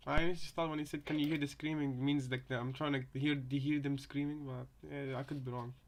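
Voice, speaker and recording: A man's voice: medium pitch, level tone, fairly quick, slight background noise. A man chatting casually as a co-commentator, tentative and hesitant as he works out his thoughts. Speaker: co-commentator reacting to voice lines. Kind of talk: casual chat.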